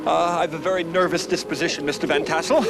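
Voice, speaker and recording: An actor playing a middle-aged, prim and superstitious schoolmaster, hesitant, stammering and fussy, in a television period comedy. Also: male voice, a little high, fairly even intonation, fast talker, natural voice. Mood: flustered. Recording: muffled VHS under loud music.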